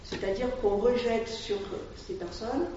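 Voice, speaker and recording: A woman's voice: a little high, fairly even intonation, a little slow, warm tone. A woman explaining the work of an asylum aid group, speaking hesitantly with many fillers and restarts. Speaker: volunteer at an asylum-seeker aid association. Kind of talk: explanatory talk to an audience. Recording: distant, echoey, dull room sound with faint noise.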